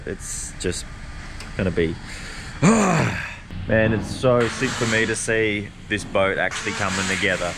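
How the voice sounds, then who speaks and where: Excited young Australian man vlogging. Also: on the low side, background noise. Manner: excited, slangy, upbeat. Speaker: vlog host. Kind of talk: casual vlog chat to camera. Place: boat workshop, then outdoors on a boat.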